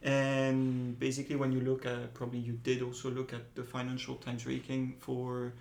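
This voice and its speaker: A young man who grew up in Asia answers podcast questions in a relaxed, direct way. His voice is middle-pitched, with room echo and a faint hiss behind it. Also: moderate pace, vocal fry. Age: 20s. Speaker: guest student. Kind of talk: casual podcast interview.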